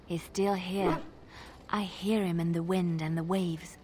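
An actress playing a devout young archer in a fantasy video game, earnest and hopeful, telling things in a hushed, dramatic way. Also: female voice, fairly low pitch, steady tone, a little slow, slight background noise, close to an everyday voice. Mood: earnest, fervent.

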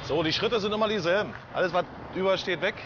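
Brash high-voiced truck driver at a port. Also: male voice, highs cut off, background noise. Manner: brash, joking, hurried. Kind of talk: casual talk at work. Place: outdoors at a port cargo yard.

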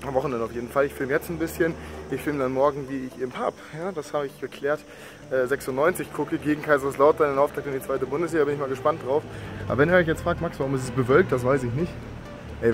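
A young man chatting casually to the camera in an upbeat, slangy way, with a slight cold. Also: medium pitch. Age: young adult. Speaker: vlogger. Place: outdoors on residential streets and indoors in a living room. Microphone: phone or camera at arm's length.

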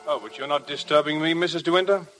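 An actor playing a discreet, reticent estate manager in a radio drama, polite and halting. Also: male voice, on the high side, music in the background, lightly acted. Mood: guarded, polite. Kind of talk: 1950 radio drama acting.

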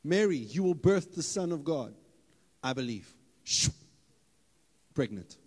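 Pastor preaching in a reverberant hall. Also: male voice, a little high, unhurried, husky. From South Africa. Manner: animated, playful, rises to loud emphatic bursts. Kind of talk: sermon. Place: church hall stage with audience. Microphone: handheld mic. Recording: hall reverb, live church PA.

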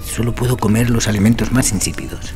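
A man's voice: fairly low pitch, fairly quick, natural voice. An actor playing an ailing, anguished nobleman in a Gothic audio drama, trembling, hesitant and agitated. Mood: nervous, tormented. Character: ailing, anguished nobleman, frail, nervous and doomed.

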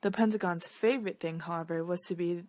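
A woman reading a children's picture book aloud in an expressive storytelling style. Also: lowish voice, a little fast, dry recording.

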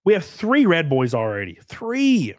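A man's voice: on the high side, wildly swooping pitch, unhurried, a little mellow, dry recording, studio quality. Crude joking man with a stuffy cold. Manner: crude, joking, laughs often. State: congested from a cold. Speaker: livestream host. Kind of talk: casual live-stream chat reading donations. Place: small home streaming room. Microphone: studio mic on boom arm, close.

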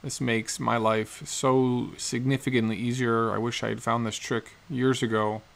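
Guy in his 40s talking thru a guitar build in his workshop, kinda gravelly, just explains each step. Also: medium pitch, flat delivery, moderate pace. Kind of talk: workshop how-to narration. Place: cluttered workshop indoors.

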